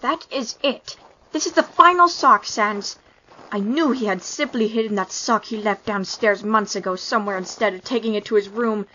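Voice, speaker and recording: A woman's voice: medium pitch, moderate pace, pushed and bright. A woman voicing a pompous, fussy male skeleton with grandiose, formal speech in a fan comic dub. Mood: exasperated. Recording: muffled lo-fi with faint noise.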